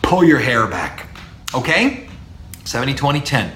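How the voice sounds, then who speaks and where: A middle-aged man with a baritone voice, lecturing as a speech professor on public speaking, animated and emphatic with low, punchy asides. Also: a little slow, echoey room.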